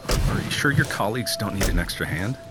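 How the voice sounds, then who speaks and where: A man playing a subdued, halting, unsure young character who just lost a friend, in a naturalistic audio drama. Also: fairly low pitch, expressive, very noisy, lightly acted. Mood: dazed, hesitant.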